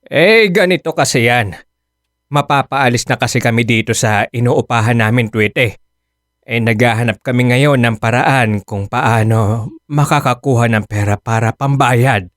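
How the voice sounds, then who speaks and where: A man's voice: mid-range voice, normal speed, a bit bright, no echo, studio quality, lightly acted. An actor playing an apologetic, mild-mannered older Filipino father in a radio drama, hesitant and pleading.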